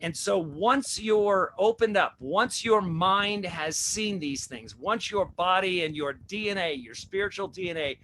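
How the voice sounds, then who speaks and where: A man's voice: sits high, lively intonation, a little slow, few pauses, sharp tone, a little hiss or hum, rough recording. A man hosting a religious talk show, preaching with repeated rhetorical questions. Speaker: host.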